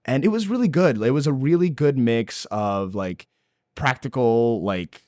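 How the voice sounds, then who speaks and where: A man's voice: medium pitch, animated, unhurried, a little mellow, dry recording, studio quality. A man in his late twenties, born in El Salvador, chatting as a guest actor in a casual podcast interview, laughing often and saying "like" a lot. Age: late 20s.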